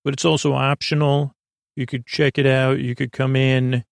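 A man with a creaky voice hosting a podcast as a soothing, gently whimsical bedtime monologue, meandering and hesitant, with many tangents and pauses. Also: mid-range voice, level tone, dry recording. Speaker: podcast host.

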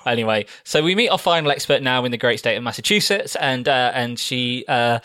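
A man's voice: mid-range voice, expressive, brisk, bright, forceful tone, dry recording. A man hosting a podcast, recapping a TV series in a rambling way with many ums and dry humour. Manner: rambling, lots of fillers and restarts, dry humour. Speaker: podcast host.

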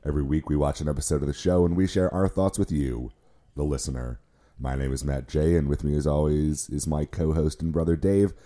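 A man hosting a casual podcast, his voice hoarse and lowered by illness, chatty and joking with many fillers. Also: fairly quick, warm tone. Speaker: podcast host. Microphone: recording headset.

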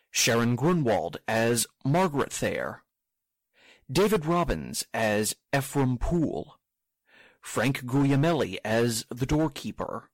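A man's voice: medium pitch, no room sound, low-quality recording. A man announcing for a radio theater show, reading scripted closing credits close to the mic.

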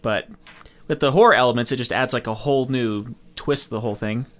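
A man with a medium-pitched voice talks very quickly. He co-hosts a podcast in a casual discussion style. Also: fairly bright tone. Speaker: podcast co-host.

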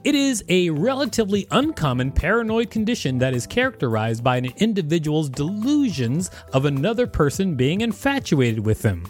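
A man's voice: fairly high pitch, moderate pace, few pauses, soft background music. A man co-hosting a podcast, reading an article aloud and breaking in with joking commentary. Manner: animated, joking asides. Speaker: podcast co-host.

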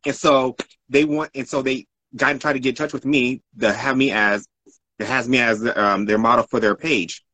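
A man tells his story casually as an interview guest. His medium-pitched voice has a husky edge, and he wanders and restates himself as he goes. The sound is close and dry, with little room. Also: fairly even intonation, moderate pace, sharp tone, no echo, rough recording. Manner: rambling, self-correcting. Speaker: interview guest, art model.

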